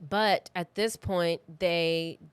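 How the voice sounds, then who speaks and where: A woman's voice: lowish voice, steady tone, very slow, clean tone, dry recording. A woman hosting a true crime podcast, telling the story in a casual, chatty, conversational way. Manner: informal, chatty.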